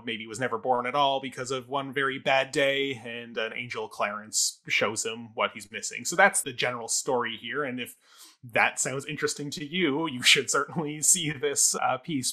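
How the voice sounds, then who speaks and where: A man's voice: medium pitch, pushed and bright. A man co-hosting a casual review show, talking in wordy, rambling asides. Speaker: podcast co-host.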